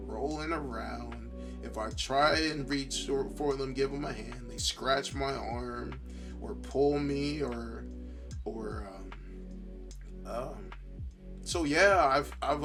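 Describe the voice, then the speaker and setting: A man hosting a solo podcast in a tired, worn voice, thinking aloud casually and haltingly. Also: medium pitch, gravelly. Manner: halting, rambling, self-reflective. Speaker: podcast host.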